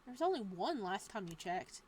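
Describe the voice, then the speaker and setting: A woman's voice: medium pitch, very animated, a little fast, a little mellow, slight background noise. A woman reading a horror visual novel aloud, breaking off for playful, joking asides. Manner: playful asides between narration. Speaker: game streamer.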